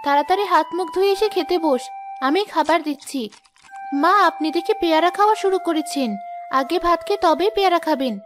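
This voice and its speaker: A woman's voice: very high voice, brisk. A woman voicing a tired, firm but loving poor village mother in a children's cartoon. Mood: firm, caring. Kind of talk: children's moral-story cartoon dubbing. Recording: music behind the voice.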